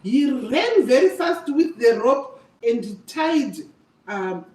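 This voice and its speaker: A woman's voice: high-pitched, sing-song, slow pace, dark tone. An older woman telling a story to children in an emphatic, repetitive, teacherly way. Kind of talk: animated oral storytelling to children. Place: echoey room, away from the microphone. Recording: echoey room, distant microphone.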